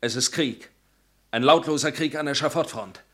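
A man's voice: medium pitch, normal speed, lightly acted. A man acting a stern young resistance leader who interrogates and commands, in a radio drama. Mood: commanding. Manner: commanding, interrogating, shouting in bursts. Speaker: radio play actor.

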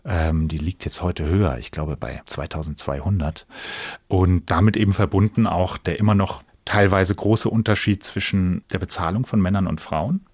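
A man's voice: sits low, normal speed, darkish tone, slightly rough, no echo. A man answering as an actor in a conversational radio interview, earnest and reflective, in broken-up phrases. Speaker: stage actor, interview guest.

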